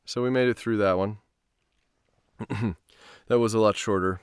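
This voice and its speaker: A man's voice: lowish voice, steady tone, unhurried, clear, resonant tone, no room sound, clean studio sound. A man reading stories aloud on a live stream, with an informal manner. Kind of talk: live storytelling and casual stream chat.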